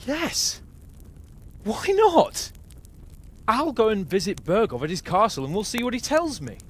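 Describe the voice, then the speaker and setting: A man's voice: high voice, very animated, unhurried, a little hiss or hum. An actor playing an eager, bold young nobleman in a medieval game cutscene, speaking breezily. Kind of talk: dramatic game cutscene acting.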